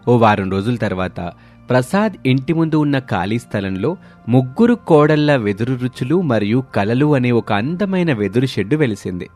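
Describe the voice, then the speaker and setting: A man's voice: mid-range voice, darkish tone, dry recording. A man narrating a scripted moral story as a storyteller. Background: music.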